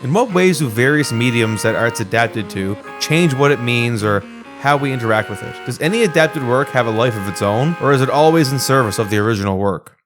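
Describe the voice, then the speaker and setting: Man, video essay narrator, scripted delivery, medium pitch, background music. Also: animated, fairly quick, hardly any pauses.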